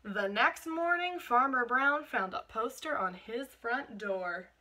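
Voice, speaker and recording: A woman reading a picture book aloud to children in an expressive teacherly way, stopping to explain things. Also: fairly high pitch, takes it slowly. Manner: expressive, explains words and asks questions to young listeners.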